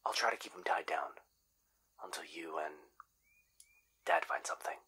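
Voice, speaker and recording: A man playing an anxious, caring young boyfriend in an ASMR roleplay, speaking softly and hesitantly, often in whispers. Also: deep voice, moderate pace, full character voice. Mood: worried, subdued. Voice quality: soft, breathy, often whispering. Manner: hesitant, gentle.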